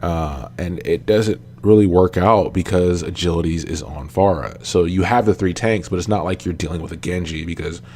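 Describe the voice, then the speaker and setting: A man with a deep voice talks through a light cold, his tone a little raspy and sniffly. He co-hosts a laid-back podcast chat, full of ums and you-knows. A faint hum sits under the recording. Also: moderate pace, few pauses, darkish tone. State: sick, slightly raspy, sniffly. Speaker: podcast co-host. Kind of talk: casual esports podcast chat.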